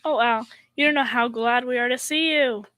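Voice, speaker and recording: A woman's voice: a little high, normal speed, a bit bright, close to an everyday voice. A young female performer playing a dim-witted, sweet, naive secretary in old radio comedy style. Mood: gushing, naive. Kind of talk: 1940s radio comedy acting.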